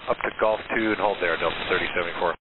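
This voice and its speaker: A man's voice: deep voice, fairly even intonation, rapid-fire. A man speaking as a pilot, giving brief readbacks over a cockpit radio. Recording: phone-like, noisy cockpit radio with clicks.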